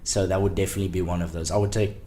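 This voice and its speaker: A man's voice: deep voice, rapid-fire, slight background noise. A young man in his twenties talking casually as a podcast guest, rambling a little with fillers and restarts. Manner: relaxed, rambling with many ums and restarts. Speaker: podcast guest, startup founder. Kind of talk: casual interview chat.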